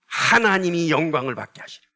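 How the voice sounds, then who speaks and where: Male pastor, high pitch, bright forceful tone, sermon delivery, emphatic, rhetorical questions, repetition for stress. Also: big pitch swings, a little fast. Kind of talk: church sermon with call-and-response prompts.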